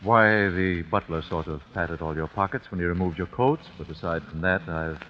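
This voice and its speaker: An actor playing a wealthy old host in an old-time radio crime drama, formal, courteous and quietly menacing. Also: male voice, deep voice, close to an everyday voice. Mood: formal, composed. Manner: formal, measured, polite. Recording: muffled, noisy old radio drama recording.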